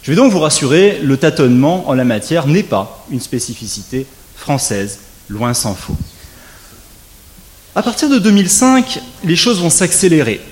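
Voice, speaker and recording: A man delivering a formal academic lecture at a conference, his voice sitting a little higher than most men's. He speaks in a measured, orderly way, slipping in dry, wry asides and lifting his pitch to stress key points. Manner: measured, wry asides, lively rising emphasis. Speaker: legal scholar giving a conference talk.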